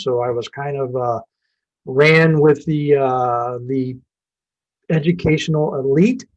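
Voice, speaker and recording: A man's voice: on the high side, a little mellow, muffled recording. A man of about sixty, a business owner telling his story in a casual, folksy interview. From Indiana and Ohio, USA.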